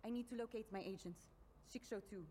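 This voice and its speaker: A woman's voice: on the high side, fast, warm tone, a little hiss or hum, natural voice. A woman playing a proud, stern special forces commander in a video game cutscene, clipped and authoritative. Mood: guarded.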